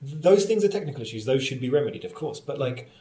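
A man speaking as an interview guest, explaining his views thoughtfully with pauses between phrases. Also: medium pitch, big pitch swings, warm tone. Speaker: interview guest, theatre director and actor. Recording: roomy, echoey, distant from mic.

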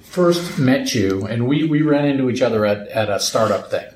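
A middle-aged man hosting a podcast in a relaxed, conversational way. He chats loosely, often nodding along with short "yeah" and "right" replies. His pitch sits in an ordinary middle range. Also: moderate pace, slight background noise, rough recording. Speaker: podcast host. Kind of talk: casual interview and solo segment.

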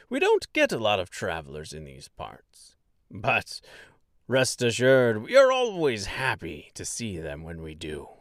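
A man voicing a plump, jovial male Munchkin mayor with a booming, hearty voice and an eager, gushing way of talking. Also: no room sound, studio quality, heavily acted.

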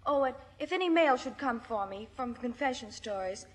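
An actress playing a sulky, self-pitying teenage daughter with an American accent, theatrical and affectedly formal in 1950s radio style. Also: female voice, on the high side, expressive, normal speed, in a large hall. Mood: haughty, sulky.

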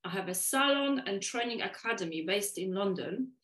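Polish-accented woman, careful slow speech. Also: mid-range voice, lively intonation, fairly bright tone, echoey room. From Poland. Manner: deliberately slowed, careful speech. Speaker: guest, waxing specialist. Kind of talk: podcast interview.

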